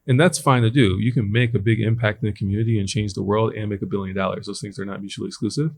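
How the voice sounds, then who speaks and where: Low-voiced man musing on a panel. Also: steady tone, creaky, slight background noise. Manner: thoughtful, filler-heavy, builds points slowly. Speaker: startup founder and panelist. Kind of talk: panel discussion. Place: conference stage.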